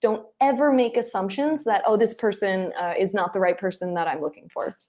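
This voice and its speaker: A woman speaking as an interview guest, giving advice and telling anecdotes in an animated, conversational way. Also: mid-range voice, brisk. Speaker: interview guest, head of client acquisition.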